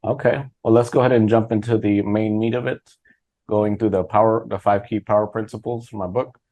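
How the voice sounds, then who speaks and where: A man's voice: fairly low pitch, little pitch movement, fairly quick, dark tone, no room sound. A man hosting a podcast interview, casual and informal, encouraging his guest. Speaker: podcast host, author.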